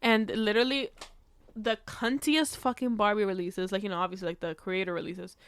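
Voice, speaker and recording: A woman's voice: mid-range voice, steady tone, fairly quick, clear, resonant tone. A woman co-hosting a casual comedy podcast, talking bluntly in heavy slang with plenty of swearing and playful exaggeration. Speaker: podcast co-host.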